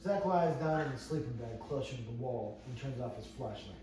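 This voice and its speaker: A man with a mid-range voice narrates screen directions at a table read. He reads from the script in a heavily reverberant room, some way from the mic, with clear background noise. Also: medium pitch, animated, fairly quick, warm tone. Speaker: narrator reading stage directions. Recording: very echoey room, distant mic, noisy.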